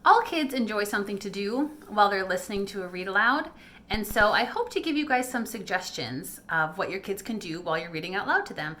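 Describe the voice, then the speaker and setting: Bright-voiced woman, encouraging vlog host. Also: medium pitch, animated, brisk. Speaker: homeschool video host and parent. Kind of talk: casual read-aloud recommendation vlog.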